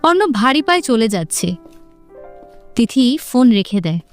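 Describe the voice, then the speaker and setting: A woman's voice: a little high, big pitch swings, moderate pace, faint music behind. A woman narrator reading a scripted romantic story.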